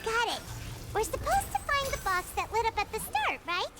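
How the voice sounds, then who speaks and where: A woman voicing a tiny, excitable childlike fairy companion in a video game, squeaky, eager and curious. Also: moderate pace, very noisy.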